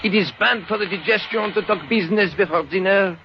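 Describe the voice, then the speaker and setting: A man's voice: high-pitched, a little fast, pitched above the performer's own voice. A man voicing a stout, middle-aged restaurant owner, formal and placidly polite, in a radio detective story. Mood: courteous, unhurried. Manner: formal, quaintly polite phrasing. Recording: muffled, noisy old radio recording with clicks.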